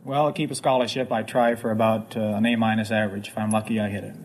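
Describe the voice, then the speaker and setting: A man's voice: lowish voice, level tone, quick pace. An American man in his twenties from California, answering as a contestant in a polite, earnest, modest way. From Hollywood, California. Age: 20s. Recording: faintly noisy 1950s radio recording.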